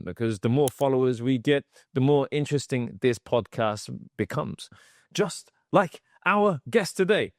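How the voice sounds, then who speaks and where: A man's voice: medium pitch, no room sound, studio quality. Upbeat podcast host, a man. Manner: enthusiastic, filler-heavy. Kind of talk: podcast interview hosting.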